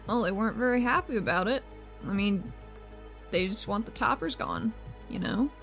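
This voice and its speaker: A woman's voice: mid-range voice, moderate pace, creaky, a bit bright, soft background music. A woman chatting casually and improvising as a player in a tabletop game, in an informal manner. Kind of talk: casual game chat and improvised role-play.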